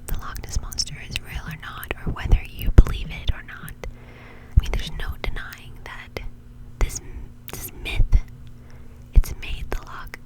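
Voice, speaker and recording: A woman's voice: a little slow, rough recording. Woman whispering casual ASMR. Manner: informal, chatty. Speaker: host.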